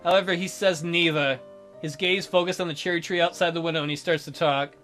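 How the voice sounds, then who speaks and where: A man's voice: fairly high pitch, fairly even intonation, fairly quick, fairly bright tone, faint music behind. A man hosting a let's play, reading visual novel narration aloud and adding wry asides.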